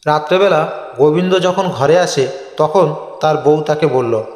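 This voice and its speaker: A man's voice: on the high side, measured pace, a little mellow. A man narrating a scripted cartoon folk story. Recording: echoey, long reverb. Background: faint music.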